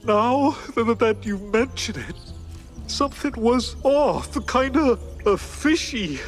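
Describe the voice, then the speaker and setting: A man voicing a timid, jittery cartoon high-wire performer, polite and halting, with a nervous stammer. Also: lively intonation, soft background music, heavily acted. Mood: anxious stammering. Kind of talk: cartoon game voice acting.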